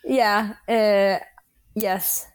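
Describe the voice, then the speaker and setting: A woman's voice: medium pitch, flat delivery, extremely slow, clean tone, dry recording. Dry-sounding young woman from Greenland. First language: Danish. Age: young adult. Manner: hesitant, many fillers and restarts. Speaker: guest athlete. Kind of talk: casual interview.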